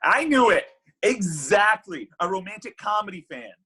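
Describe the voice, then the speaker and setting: A man's voice: high voice, very animated, normal speed, sharp tone, breathy edge, exaggerated voice. A man playing Cupid in a comedy table read, a bitter, heartbroken matchmaker god who gets defensive and exasperated. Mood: scornful, indignant. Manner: exasperated, indignant, stammering.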